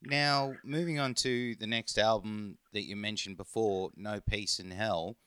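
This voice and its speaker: A man's voice: lowish voice, fairly even intonation, a little slow, a bit bright, smooth, no room sound. A man from England and Norway hosting a podcast, asking friendly questions with fillers in casual talk. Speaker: podcast host. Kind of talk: casual interview.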